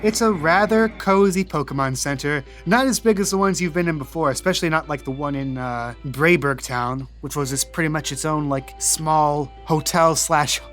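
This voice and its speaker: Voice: medium pitch, moderate pace, few pauses, fairly bright tone. A game master narrating a tabletop roleplay podcast in a casual, descriptive, conversational way. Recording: music under the voice.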